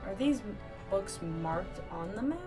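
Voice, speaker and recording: A woman's voice: mid-range voice, animated, measured pace. A woman chatting casually as she live-streams a video game, reacting and talking to viewers. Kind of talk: casual live gaming commentary and reading aloud. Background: game music.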